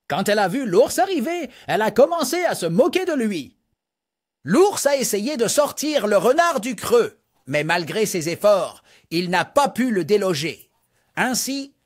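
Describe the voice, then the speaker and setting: High, animated male storyteller. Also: no echo, clean studio sound. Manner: animated, sing-song storytelling to children. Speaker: children's storyteller. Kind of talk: bedtime story narration for toddlers.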